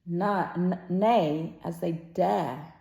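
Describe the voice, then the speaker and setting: A woman playing a boastful, quarrelsome male servant in an old stage play, in a halting declaimed delivery that moves between muttering and projecting. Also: lowish voice, creaky, dark tone, exaggerated voice. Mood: defiant. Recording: roomy, echoing sound.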